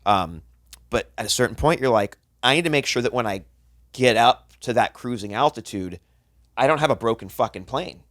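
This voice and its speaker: A man's voice: mid-range voice, lively intonation, normal speed, fairly bright tone, no room sound, studio quality. A man in his thirties, a podcast co-host and chef, talking bluntly and profanely in a rambling casual chat. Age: 30s.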